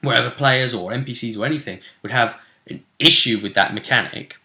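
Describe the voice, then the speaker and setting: A man's voice: medium pitch, a little fast, sharp tone. A man casually explaining the rules of a tabletop role-play game as a host, chatting with a co-host. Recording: roomy, echoing room sound.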